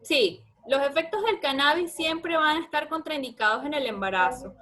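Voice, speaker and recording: A woman's voice: high voice, expressive, moderate pace, bright, forceful tone. A woman with a Venezuelan Andean accent answering questions as a doctor in an informal webinar, explaining in colloquial terms and addressing listeners directly. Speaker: guest lecturer, obstetrics doctor.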